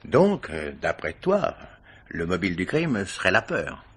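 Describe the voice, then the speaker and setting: A man acting a seasoned police chief in a French radio mystery play, paternal and probing, with hesitant, drawling interjections and a gravelly voice. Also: deep voice, wildly swooping pitch, unhurried, a bit bright, full character voice. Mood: musing, indulgent.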